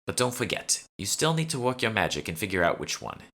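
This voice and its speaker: An imperious British socialite woman, voiced by a male audiobook reader. She speaks with scornful condescension and a bright, forceful edge. She is haughty through and through. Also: lowish voice, very animated, a little fast, voice pushed up, exaggerated voice.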